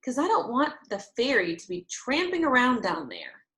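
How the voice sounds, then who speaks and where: A woman's voice: on the high side, huge pitch swings, moderate pace, fairly bright tone, echoey room, exaggerated voice. A woman voicing an outspoken, anxious first-grade girl with blunt, emphatic childish phrasing, in a storybook reading. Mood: anxious, insistent.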